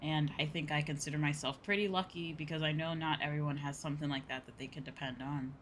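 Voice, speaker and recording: A young woman chatting casually on a game livestream, rambling, expressive and informal, swearing freely. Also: low voice, fast talker, slight background noise. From outside Japan. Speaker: VTuber game streamer. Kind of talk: casual livestream chat over a video game. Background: game music.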